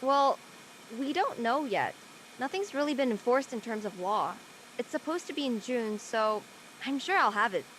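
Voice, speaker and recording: A young woman playing a practical seventeen-year-old high school girl in an audio play, casual and matter-of-fact. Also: animated, normal speed, fairly bright tone, slight background noise, low-quality recording. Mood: casual concern. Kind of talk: conversational acting in an online audio play.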